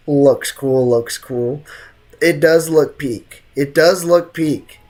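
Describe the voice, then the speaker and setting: A man's voice: a little high, extremely slow, a little mellow. A young American man chatting casually and slangily as he streams a game, reading chat and answering it. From United States. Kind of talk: casual live gaming chat. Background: game music.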